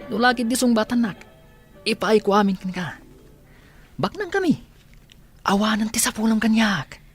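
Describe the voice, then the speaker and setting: A man's voice: high-pitched, lively intonation, normal speed, soft background music. A man voicing a boastful, spoiled rich young man who laughs a lot, in an Ilocano radio drama. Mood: cocky. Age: young adult. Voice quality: cocky, laughs often. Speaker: college-age son.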